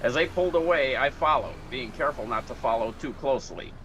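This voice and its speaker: A man's voice: mid-range voice, fast, fairly bright tone, dull audio, a little hiss or hum, lightly acted. A man playing a hard-boiled 1940s private detective, narrating his case in a matter-of-fact, clipped radio noir style.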